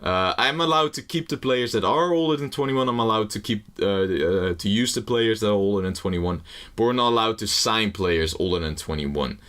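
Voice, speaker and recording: Man, fairly low voice, casual gaming chat, rambling, self-mocking jokes. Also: normal speed, a bit bright. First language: Dutch. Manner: rambling, jokey, swears casually; nervous first-video energy. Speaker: gaming YouTuber. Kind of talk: casual game commentary.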